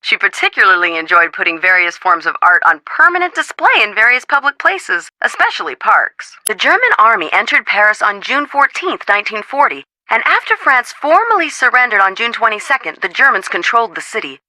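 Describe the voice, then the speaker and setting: A woman's voice: a little high, big pitch swings, a little fast, hardly any pauses, sharp tone, no room sound, exaggerated voice, low-quality recording. A woman playing an articulate, earnest historian in a video game, explaining things formally and precisely. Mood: earnest, informative.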